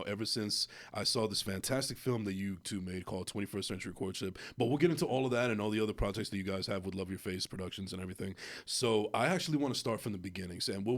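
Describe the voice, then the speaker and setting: A man hosting a conversational podcast interview, putting questions to his guests in an informal way. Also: fairly low pitch. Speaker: podcast host.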